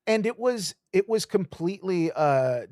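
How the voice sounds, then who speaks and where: Animated, swearing man in casual chat. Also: high-pitched, measured pace, dry recording, studio quality. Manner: animated, swears often, gushing enthusiasm. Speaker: podcast co-host.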